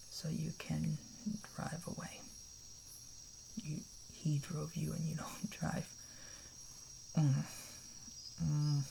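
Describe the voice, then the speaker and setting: Man playing a whispering, creaky-voiced gentle camper. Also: fairly high pitch, full character voice, low-quality recording. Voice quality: soft, breathy half-whisper. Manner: hesitant, gentle, reassuring. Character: kind, protective young male camper comforting a stranger. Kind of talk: ASMR roleplay.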